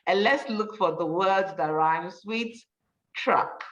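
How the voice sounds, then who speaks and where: A woman teacher reading a rhyming story for children, with an encouraging manner and deliberate, emphatic delivery. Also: mid-range voice, a bit bright, far from the mic, highs cut off. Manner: encouraging, praising, addressing children directly.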